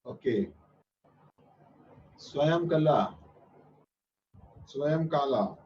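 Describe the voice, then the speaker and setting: A man's voice: on the high side, animated, drawn-out words, darkish tone. A man with an Indian English accent teaching a maths class online, patient and repeating his instructions, sometimes switching into Hindi. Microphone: video call. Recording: muffled distant online-class audio.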